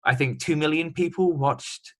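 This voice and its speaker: A man's voice: a little high, normal speed. A man interviewing a guest in a remote chat, talking chattily and loosely with many ums and likes.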